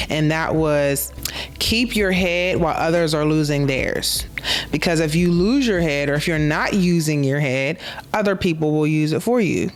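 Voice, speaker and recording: Expressive woman reviewing a film. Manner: expressive, conversational, acts out quotes with big pitch jumps. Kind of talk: casual reflective monologue. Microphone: handheld mic.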